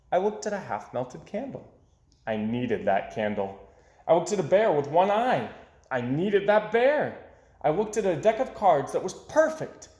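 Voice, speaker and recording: A man voicing a dramatic, hard-done-by young boy in an expressive storybook read-aloud, theatrical and emphatic. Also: on the high side, echoey room. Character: young boy, dramatic, aggrieved, forever losing his money.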